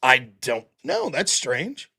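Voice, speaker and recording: A man with a high-pitched voice and audible heavy breathing hosts a live stream, reading donations aloud. His pitch swings widely as he gets fired up and yells, peppering everything with profanity. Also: slow pace, slightly rough. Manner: animated, profane, yells about politics. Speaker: livestream host reading super chats. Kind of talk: casual livestream chat.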